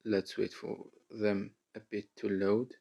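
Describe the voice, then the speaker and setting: A man with a low voice and a heavy, creaky fry hosts a how-to tutorial. He walks through each step in a deliberate, halting manner, addressing the viewer directly. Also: flat delivery, warm tone, muffled recording. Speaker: tutorial host. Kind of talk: step-by-step screen tutorial narration.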